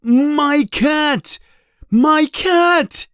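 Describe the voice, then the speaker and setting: Furious upset old caretaker, screechy, drawn out, swinging way up high, audiobook style. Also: male voice, extremely slow, dry recording, clean studio sound, full character voice. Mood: desperate. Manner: screeching, yelling, accusing. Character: distraught, furious older male school caretaker.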